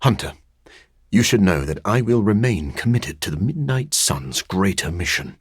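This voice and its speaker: An actor playing a brooding, scholarly vampire in a video game, speaking formally with dry wit in a low, husky voice. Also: male voice, very animated, normal speed, dry recording, heavily acted. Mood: measured, grave.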